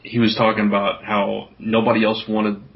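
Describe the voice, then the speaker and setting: A man co-hosting a podcast in relaxed, casual conversation. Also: lowish voice, normal speed, gravelly. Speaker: podcast co-host. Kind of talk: casual chat about comics. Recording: dull, lo-fi podcast audio.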